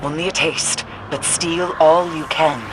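A performer playing a grim, haunted mystic seer in a video game, solemn, ominous and deliberate. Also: medium pitch, steady tone, very noisy, natural voice. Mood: intent.

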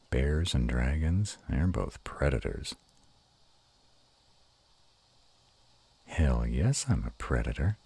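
A man playing a gruff but tender were-dragon lover in an intimate romantic audio roleplay, murmuring slowly and haltingly in a gravelly, husky voice. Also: a bass voice, darkish tone, full character voice. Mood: playful growling.

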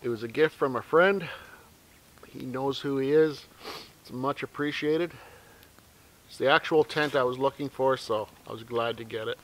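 A man's voice: medium pitch, normal speed, pauses often, rough recording. A middle-aged man hosting his outdoor channel, chatting casually and easily to camera while seated in a snowy forest. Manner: relaxed, rambling, soft-spoken asides to his dog.

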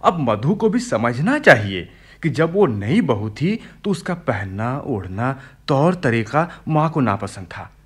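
A coaxing younger brother, lively intonation. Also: male voice, medium pitch, takes it slowly, slightly rough, heavily acted. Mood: persuasive. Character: younger brother, grown son, persuasive and practical family man. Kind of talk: Hindi radio play acting.

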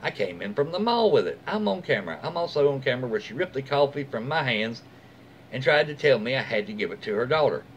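A middle-aged American man reads aloud casually, like a host chatting with his followers. His mid-pitched voice moves up and down in a lively way, with informal, folksy asides. He is recorded at home with faint noise in the room. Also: fast talker, lo-fi sound. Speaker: host reading online stories aloud. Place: small room at home, indoors.

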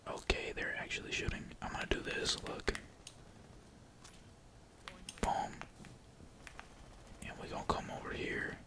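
A man's voice: a little slow, pauses often. Whispering man, casual gaming ASMR. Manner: slangy, swearing, casual. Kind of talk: whispered ASMR gameplay commentary. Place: indoors at home, at a computer. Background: keyboard typing.

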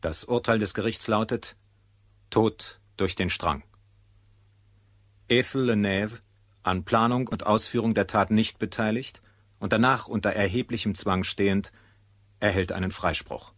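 Male narrator for historical trial drama, fairly low, sober, matter-of-fact delivery. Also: a bit bright. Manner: sober, factual, formal. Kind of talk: scripted documentary-drama narration.

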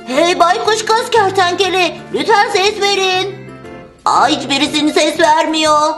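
Woman voicing a very high-pitched excitable cat-boy hero. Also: a little slow, soft background music. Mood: puzzled, pleading. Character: excitable young cat-boy toy hero, dramatic and whiny. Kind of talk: children's toy play storytelling.

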